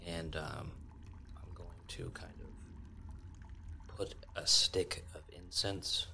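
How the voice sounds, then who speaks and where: A man with a deep voice and plenty of fry talks casually as a player in a tabletop game. His tone has a bright, forceful edge. Faint noise sits behind him. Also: fairly even intonation, extremely slow.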